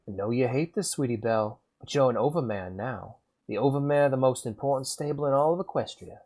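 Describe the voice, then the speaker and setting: A man's voice: medium pitch, fairly quick, a little mellow. A man voicing a sweet young mare in an old recorded message, with a put-on British accent, tender and pleading. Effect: old crackly recorded message.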